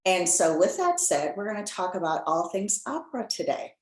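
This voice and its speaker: Podcast host, woman, low-pitched, chatty storyteller, echoey room. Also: normal speed. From Chicago area. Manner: lively storyteller, laughs often. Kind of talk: casual interview chat.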